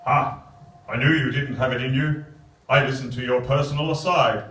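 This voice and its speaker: A man plays a righteous, self-satisfied superhero in a comic radio serial. He declaims with confidence and drops deadpan asides, heard at a distance in an echoey hall with faint noise. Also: mid-range voice, normal speed, natural voice. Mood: smug. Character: smug, righteous male superhero, cheerfully moralising. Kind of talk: live radio serial parody. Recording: echoey theatre from far off.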